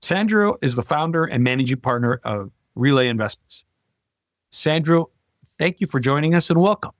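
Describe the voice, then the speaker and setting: A man's voice: a little high, animated, normal speed, no echo, studio quality. A man hosting a business podcast, opening the show with a formal, welcoming introduction of his guest. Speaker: podcast host, law firm partner.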